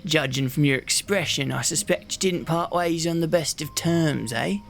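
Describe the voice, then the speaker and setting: A man's voice: a little high, normal speed, vocal fry, faint music behind. A man playing a jovial city gate guard in a fantasy ASMR roleplay, with a folksy, teasing manner. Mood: curious.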